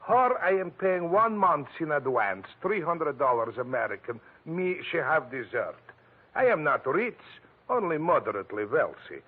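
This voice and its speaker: A man's voice: fairly high pitch, very animated, moderate pace, slightly rough. An actor playing a huge, heavy foreign man who complains in broken English with a Russian accent, in a 1940s radio detective drama. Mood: plaintive, wronged. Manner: broken English, plaintive, laboured. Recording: muffled, narrow-band 1940s radio recording.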